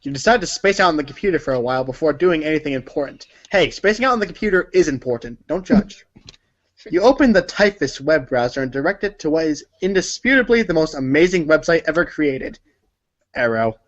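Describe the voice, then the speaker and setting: A man's voice: fairly high pitch, lively intonation, fairly quick, dull audio. A man narrating a comic read-along story in the second person.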